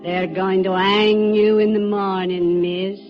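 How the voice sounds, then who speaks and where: An actress playing a blunt, impatient prison wardress in an old radio drama, dry, curt and needling. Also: female voice, medium pitch, flat delivery, slow pace, close to an everyday voice. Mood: impatient, sardonic. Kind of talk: old-time radio acting. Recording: dull old radio broadcast, faint music.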